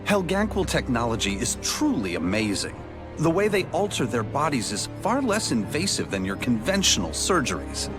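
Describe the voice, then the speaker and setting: A man voicing a nonchalant, smug alien informant in a video game, breezy and expressive. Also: mid-range voice, moderate pace, over music, close to an everyday voice. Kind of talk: scripted video game voice acting.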